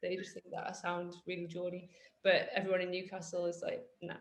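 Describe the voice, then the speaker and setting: A woman's voice: fairly low pitch, monotone, brisk. A British woman hosting a casual fitness podcast, chatty and joking in a self-mocking way. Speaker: podcast host, personal trainer. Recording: roomy echo.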